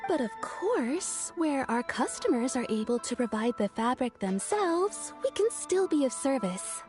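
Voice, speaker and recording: A woman's voice: sits high, sing-song, unhurried. A woman playing a polite, deferential kimono shopkeeper in a video game, speaking formally. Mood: polite, regretful. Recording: game music behind the voice.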